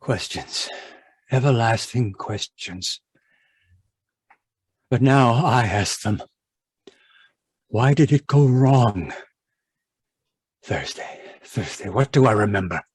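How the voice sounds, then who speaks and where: A man's voice: medium pitch, lively intonation, slow pace, long pauses, slightly rough, no echo. An actor playing a stern, irritable husband obsessed with his work, imperious and impatient, in old-time radio drama style. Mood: brooding. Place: live video call performance. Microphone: video call microphone.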